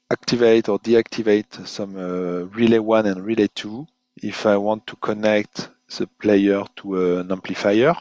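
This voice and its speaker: A man presenting an unscripted webinar software demonstration in halting, hesitant phrases, often repeating words as he goes. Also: lowish voice, no echo. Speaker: product presenter giving a software demo.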